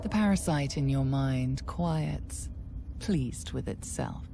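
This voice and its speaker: Low, creaky, ominous fantasy narrator. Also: female voice, animated, background noise, heavily acted. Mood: grave, intense. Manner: hushed, deliberate, dramatic. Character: grave, ominous female storyteller of a dark fantasy. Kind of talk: scripted second-person game narration.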